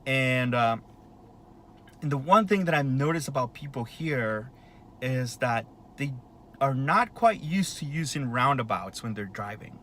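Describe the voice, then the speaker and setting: A man's voice: medium pitch, expressive, a little slow, fairly bright tone, a little hiss or hum. A man in his late thirties talking in a casual, rambling monologue, with lots of fillers and restarts. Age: 30s. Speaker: vlogger.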